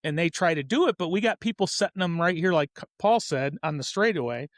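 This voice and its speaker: Higher-voiced man in his fifties, close dry sound. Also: lively intonation, brisk, dry recording. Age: 50s. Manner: earnest, rambling, self-correcting. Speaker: host of a hobby racing show. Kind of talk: casual opinionated talk about hobby racing.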